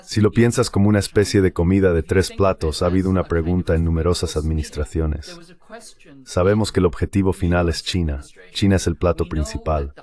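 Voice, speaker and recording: A man with a deep, low voice offers geopolitical analysis. He speaks as a panel guest in a dubbed voice-over. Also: fairly even intonation, fairly quick, darkish tone.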